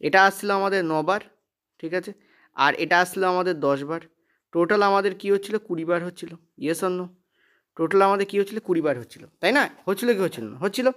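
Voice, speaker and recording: Male maths tutor. High-pitched, rapid delivery, emphatic repetition, frequent check questions. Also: fairly bright tone. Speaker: maths teacher. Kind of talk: exam-prep lesson, explaining step by step.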